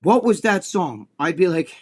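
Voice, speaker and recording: Profane, animated New Jersey man. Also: normal speed, slight background noise. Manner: animated, profane, acts out quotes in a high voice. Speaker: podcast co-host. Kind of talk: casual storytelling chat.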